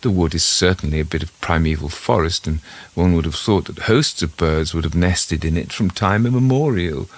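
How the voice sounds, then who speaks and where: A man voicing an uneasy country gentleman in a ghost story, formal and a little hesitant as he puzzles things out. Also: low voice, moderate pace. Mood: puzzled.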